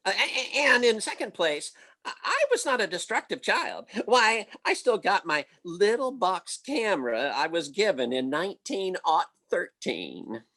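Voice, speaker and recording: A woman's voice: mid-range voice, sing-song, measured pace, a bit bright, exaggerated voice. A woman playing a blustering, bumbling, easily flustered husband with a stammering, folksy manner in an old-time radio comedy. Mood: folksy, defensive.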